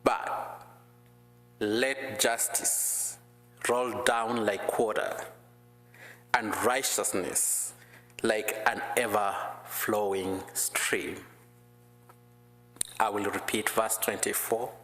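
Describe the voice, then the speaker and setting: A man preaching and praying with slow, careful phrasing; English is not his first language. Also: on the low side, fairly even intonation, a bit bright, soft background music. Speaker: guest preacher. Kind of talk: prayer and sermon. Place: church sanctuary. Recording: echoey church hall.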